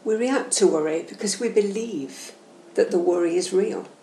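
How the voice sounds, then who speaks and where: A woman guiding a meditation session in a soothing, gentle, measured and instructional way. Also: medium pitch. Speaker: guided meditation host. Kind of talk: slow, soothing guided meditation narration. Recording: roomy echo, faint background noise.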